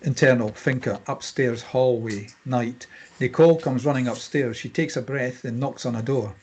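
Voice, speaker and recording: A man's voice: medium pitch, moderate pace, a little mellow, breathy edge. A man reading out screenplay stage directions as scripted narration at a table read.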